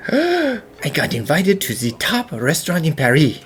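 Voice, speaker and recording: A woman voicing an earnest, remorseful male chef and devoted father in a cartoon fan dub, halting and emotional. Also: deep voice, huge pitch swings, a little hiss or hum, heavily acted. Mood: regretful. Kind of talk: fan-dub character acting, dramatic storytelling.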